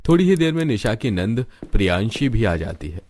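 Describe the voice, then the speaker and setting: A man's voice: on the low side, sing-song, fairly quick, darkish tone. A man reading scripted narration for a family cartoon story.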